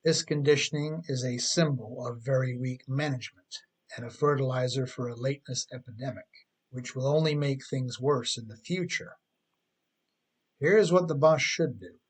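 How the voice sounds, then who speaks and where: A man's voice: mid-range voice, moderate pace, husky. A middle-aged man reading his own humorous advice book as scripted narration, with an emphatic, tongue-in-cheek delivery.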